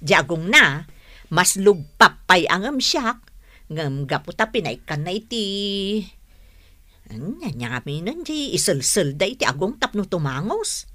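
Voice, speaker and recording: An actress playing a thrifty, chatty, cheerful married woman in an Ilocano radio drama, expressive and teasing. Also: on the low side, a little slow, no echo, heavily acted. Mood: gossipy, amused.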